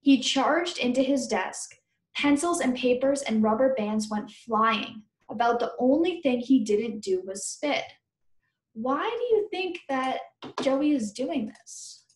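A woman's voice: high-pitched, expressive, slow. A woman teacher reading a children's book aloud, stopping to question and explain things to her pupils. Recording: roomy indoor echo.

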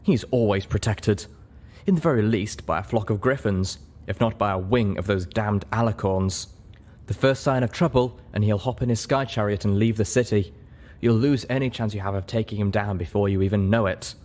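A man's voice: fairly low pitch, moderate pace, darkish tone, natural voice. Man playing a stern elderly female pony commander. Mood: stern warning.

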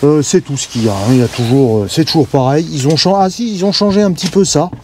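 A man giving a casual, halting walkthrough of a camper van, full of fillers as he points things out, recorded inside the van on a body-worn camera. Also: a little high, a little mellow, a little hiss or hum. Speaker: vlogger touring a camper van.

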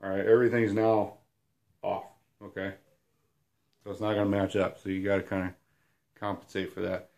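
Husky-voiced guy in his thirties with a cold, low voice, casual self-deprecating teacher talking to a camera at home. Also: level tone, moderate pace, pauses often, a little mellow. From Illinois. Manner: rambling and self-deprecating, many fillers. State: hoarse from a cold, sniffling. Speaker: outdoors channel host. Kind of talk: casual whiteboard lesson. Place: small room at home, indoors.

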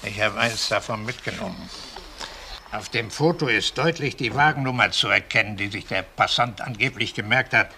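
A man's voice: lowish voice, normal speed, gravelly, sharp tone, slight background noise, full character voice. An actor playing a shrewd, precise older retired policeman in a German crime radio play. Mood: precise, recounting.

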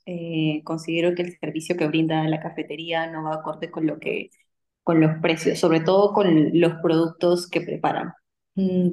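A woman's voice: lowish voice, a little mellow, rough recording. A young woman explaining her opinion in an online group discussion. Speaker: focus group participant. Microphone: video call.

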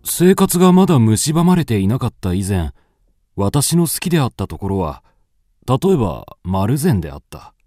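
An actor playing a brooding, melancholic man narrating his wanderings in a measured, dramatic radio drama monologue. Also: mid-range voice, sing-song, dark tone, no echo. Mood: gloomy, brooding.

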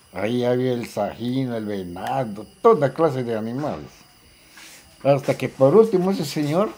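Older Peruvian man, raspy mid-pitched voice, halting delivery, casual testimony from a local resident. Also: dark tone. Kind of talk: casual spoken reminiscence.

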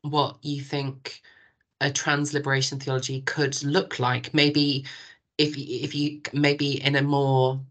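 A woman on a video call who asks a question, speaking tentatively with many pauses and restarts. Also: a bass voice, little pitch movement. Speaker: discussion participant asking a question.

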